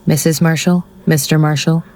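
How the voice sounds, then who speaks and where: An actor playing a curt, by-the-book executioner in an audio drama, formal and deadpan. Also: medium pitch, normal speed, slight background noise, natural voice. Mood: procedural.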